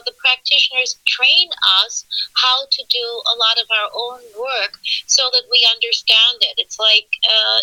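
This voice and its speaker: Woman, remote caller, very bright, dry sound, earnest, religious discussion. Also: on the high side, no echo, low-quality recording. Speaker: remote participant on speaker. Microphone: call put on speaker.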